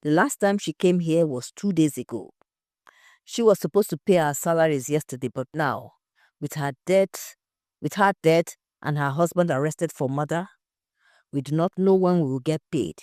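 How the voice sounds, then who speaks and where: A woman's voice: deep voice, normal speed, a little mellow, no echo, studio quality, natural voice. A woman playing a brash, gossipy young shop assistant in an audio story. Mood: indignant.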